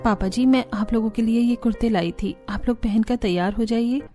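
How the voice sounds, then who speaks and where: Cartoon young bride, played by a woman: dutiful, cheerful, higher voice over faint music. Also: steady tone, normal speed, mellow, close to an everyday voice. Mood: helpful. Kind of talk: Hindi cartoon story dialogue.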